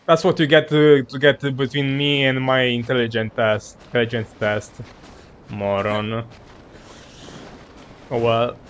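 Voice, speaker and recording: A man's voice: medium pitch, flat delivery, measured pace, long pauses. A man casually commentating on a game he is streaming, grumbling and swearing bluntly. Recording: noisy gaming stream audio. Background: game sounds.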